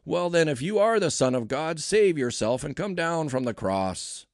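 A man voicing a scornful, mocking member of a mob, loud and jeering with exaggerated emphasis, in a scripture story. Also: low-pitched, lively intonation, unhurried, no room sound, clean studio sound, full character voice. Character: scornful mocking mob of priests, soldiers and onlookers.

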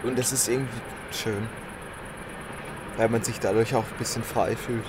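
A man's voice: medium pitch, steady tone, moderate pace, noisy background. A man in casual podcast chat, musing and thinking aloud in loose phrasing. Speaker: podcast co-host.

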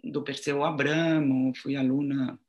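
Slow, thoughtful older woman, non-native Portuguese speaker. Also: extremely low pitch, little pitch movement, darkish tone, dull audio, a little hiss or hum. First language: not Portuguese (Portuguese is second language). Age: 60s. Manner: thoughtful, halting, searching for words. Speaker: interviewee, writer and art journalist. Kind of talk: reflective interview conversation.

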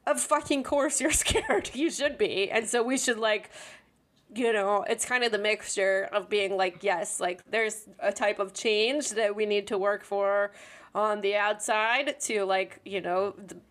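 Woman, medium pitch, casual and candid conversational talk, reflective and informal. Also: measured pace, a bit bright. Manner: candid, informal, frequent "like" and "you know".

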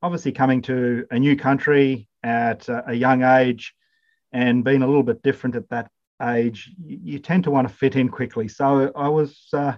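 A man's voice: medium pitch, steady tone, normal speed. Hesitant middle-aged man, dull lo-fi sound. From Zimbabwe. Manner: hesitant, many fillers and restarts. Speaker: podcast guest, incoming college principal. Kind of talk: casual interview chat.

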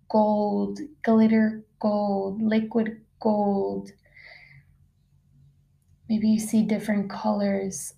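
Female meditation guide; very relaxed, dark-soft tone, little pitch movement, gentle instructional delivery. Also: creaky. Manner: soft-spoken, unhurried, with long pauses. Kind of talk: guided meditation, slow soothing narration.

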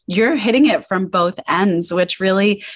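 A woman's voice: medium pitch, measured pace. A woman hosting a podcast interview in a casual, enthusiastic way, saying "like" often, her voice starting to give out. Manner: casual, enthusiastic, peppers talk with "like". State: losing her voice.

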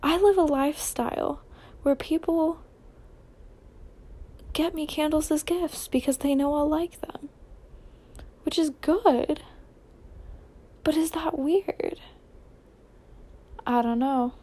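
A young American woman with a high voice talks through a casual podcast at an unhurried, slow pace. She rambles informally, often second-guessing and correcting herself. Her tone is soft-edged and mellow rather than bright. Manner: informal, self-questioning, trailing off and correcting herself. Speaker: solo podcast host.

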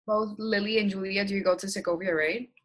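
A woman's voice: medium pitch, fairly even intonation, a little fast. A young woman from Colombia, a university student talking casually on a panel, with plenty of fillers. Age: young adult. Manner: chatty, many fillers.

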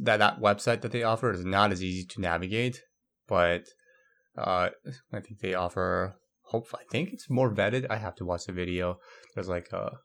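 A man's voice: sits low, fairly bright tone. A young man from Brazil hosting a solo podcast, rambling casually with many fillers and restarts. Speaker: podcast host.

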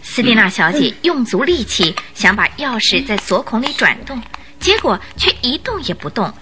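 一位女旁白以富有戏剧性的方式讲述广播剧故事。. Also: female voice, fairly high pitch, sing-song, moderate pace, a crowd in the background. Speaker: narrator. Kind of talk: dramatic scripted storytelling narration.

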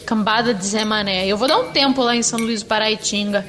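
A woman playing a fed-up, homesick young student flatmate in an audio drama, speaking casually with a Brazilian accent. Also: mid-range voice, brisk, faint music behind, close to an everyday voice. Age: young adult. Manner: colloquial, casual.

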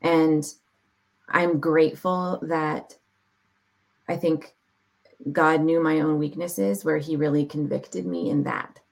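Low, gravelly-voiced woman sharing testimony. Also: steady tone, a little mellow. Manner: reflective and heartfelt, with measured pauses. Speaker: podcast guest. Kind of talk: personal testimony in an interview.

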